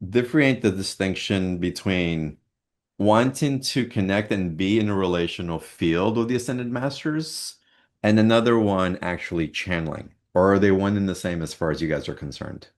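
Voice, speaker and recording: A man hosting a spiritual talk, asking thoughtful questions in a soft, halting way. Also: sits low. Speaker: host. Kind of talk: casual interview chat.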